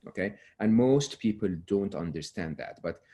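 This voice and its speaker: Man in his 50s, very low voice, earnest guest on a remote call, trailing off softly at ends of points. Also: expressive, normal speed. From Egypt. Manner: earnest, frequent restarts, drops voice to close points. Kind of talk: relaxed interview talk.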